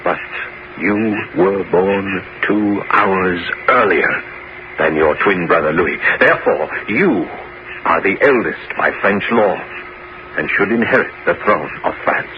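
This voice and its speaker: An actor playing an aging bishop and former musketeer in a 1970s radio drama, grave and resolute, with theatrical, deliberate, emphatic phrasing. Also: male voice, deep voice. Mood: grave, insistent. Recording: muffled, noisy 1970s radio broadcast recording.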